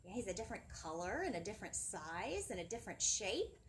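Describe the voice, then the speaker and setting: Female kids' storytime host, bright-eyed teacherly delivery with big melodic swings, slight room noise. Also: medium pitch, quick pace. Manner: animated, singsong, asking questions of young listeners. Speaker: children's librarian storytime presenter. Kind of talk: children's storytime teaching, addressing young viewers. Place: indoor library children's area, seated.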